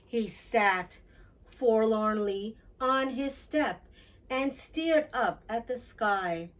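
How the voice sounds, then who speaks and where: A woman's voice: a little high, very slow, far from the mic. A woman reading a children's story aloud, expressively, addressing young listeners with questions.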